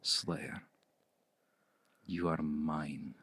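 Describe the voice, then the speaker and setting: A man playing a seductive, commanding male vampire in an intimate ASMR roleplay, speaking slowly and deliberately in a hushed, breathy voice close to the mic. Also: flat delivery, a little hiss or hum, full character voice. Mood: menacing, hypnotic. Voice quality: low, gravelly, breathy. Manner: slow, deliberate, hushed, often breathy near-whisper. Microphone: close mic, dry.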